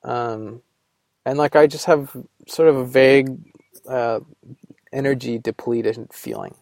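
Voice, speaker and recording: A man's voice: medium pitch, very animated, a little slow, gravelly, darkish tone, no room sound. A man talking alone in a rambling, sarcastic way, full of fillers, just getting over a cold. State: recovering from a cold. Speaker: podcast host. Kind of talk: rambling solo monologue. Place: Vermont.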